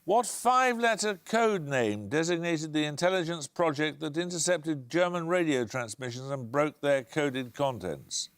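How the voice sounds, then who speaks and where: A male quiz show host in a television studio, measured and precise. His voice sits fairly high and moves in lively swoops as he sets out introductions and questions. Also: clear, resonant tone.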